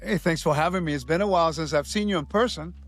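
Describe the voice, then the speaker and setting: A man's voice: a little high, fast, soft background music. A man in his fifties from Puerto Rico, a podcast guest earnestly telling his life story with many restarts and fillers.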